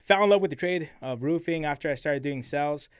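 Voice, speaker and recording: A man's voice: on the high side, a little fast, clean tone, no echo, clean studio sound. A man in his twenties, raised in New Mexico, chatting as a podcast guest in an upbeat, easygoing way, full of "you know, man". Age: 20s. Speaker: guest, roofing executive. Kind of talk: casual interview chat.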